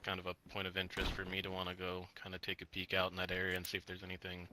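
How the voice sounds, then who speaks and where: Man, deep monotone voice, rambling roleplay talk, swears a lot. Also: very fast, a little hiss or hum. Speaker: roleplay player. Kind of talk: in-character roleplay chat.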